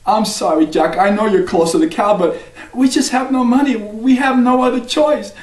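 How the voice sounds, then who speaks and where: A man's voice: sits high, moderate pace, distant mic, pitched above the performer's own voice, natural voice. A man voicing a poor, worried mother who frets and pleads. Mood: pleading. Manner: pleading, fretful. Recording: echoey room, voice off-mic.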